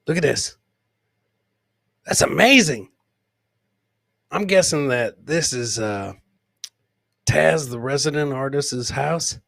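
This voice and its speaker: A man's voice: medium pitch, gravelly, a bit bright, no echo, studio quality. A man hosting a live comedy show, commenting on clips casually and informally, repeating his lines like a showman. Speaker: podcast host.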